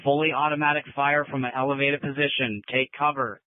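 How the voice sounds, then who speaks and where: A man's voice: medium pitch, little pitch movement, fairly quick, no echo. Male officer on a tinny police radio. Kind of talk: emergency radio traffic.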